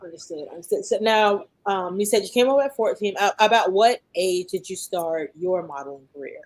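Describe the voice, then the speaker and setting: A woman hosting a podcast, asking questions in a measured way with frequent fillers. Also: mid-range voice. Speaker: podcast host. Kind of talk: podcast interview.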